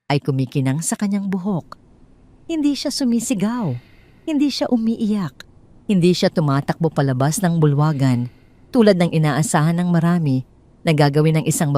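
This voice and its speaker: Lower-pitched Filipino woman narrating a drama. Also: mellow. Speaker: story narrator. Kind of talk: scripted dramatic audio story narration.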